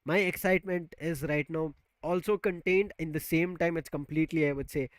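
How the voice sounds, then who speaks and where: An excitable male esports caster pumps up the audience over a headset on a remote call. His voice sits a little high for a man. The sound is close and dry, with no room around it. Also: fairly quick, smooth, no echo. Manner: excitable, loud, building hype. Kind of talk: live esports commentary.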